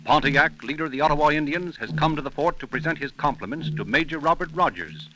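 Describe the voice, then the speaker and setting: A man narrates in solemn, theatrical 1930s radio style. His voice is a little high, and music plays clearly behind him on the old broadcast recording. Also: fast, rough recording.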